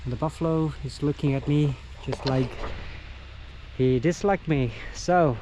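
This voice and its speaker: A middle-aged man whose first language is Vietnamese talks slowly, with a fairly high voice. He narrates outdoors like a local guide, pausing often and reaching for words. Faint noise sits behind him. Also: dark tone, rough recording. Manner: unhurried, halting, with frequent fillers. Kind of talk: casual walking vlog narration. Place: outdoors on countryside trails.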